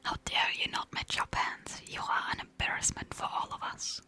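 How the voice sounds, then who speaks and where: A woman speaks for a prim, haughty female house cat who scolds her owner in a hushed, bright whisper. The cat sounds thoroughly disgusted and snooty. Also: moderate pace, lightly acted. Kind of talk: ASMR whisper.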